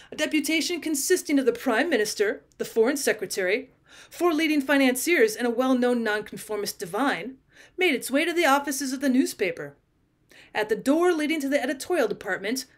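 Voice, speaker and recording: A woman with a fairly high voice narrates a literary short story. She reads deliberately and expressively, leaning on key phrases as a teacher reading aloud would.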